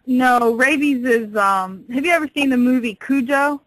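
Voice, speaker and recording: A woman's voice: sits high, moderate pace, no room sound. A woman working at a pet store, explaining things patiently and a little hesitantly on a customer service phone call. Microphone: telephone.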